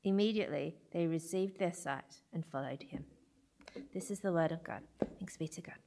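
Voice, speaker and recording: A woman reading a Bible passage aloud in a church, measured and steady. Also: low voice, gravelly. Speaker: scripture reader.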